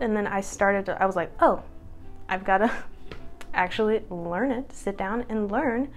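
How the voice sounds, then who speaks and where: A young woman in her twenties talking casually to the camera at home, chatty and upbeat, laughing at herself now and then. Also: mid-range voice. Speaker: vlogger.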